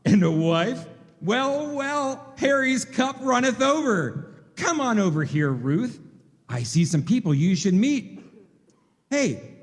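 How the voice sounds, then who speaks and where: High-voiced, animated folksy old uncle played by a man. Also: slow, exaggerated voice. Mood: excited, chatty. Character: scatterbrained folksy fifty-something uncle in small-town America. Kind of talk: live radio play acting. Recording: echoey hall, long reverb.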